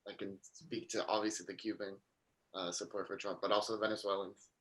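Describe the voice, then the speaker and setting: A man from Miami joining an online panel, speaking quickly and casually. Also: on the low side, level tone, fairly bright tone, poor audio. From Miami, United States. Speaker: panel participant.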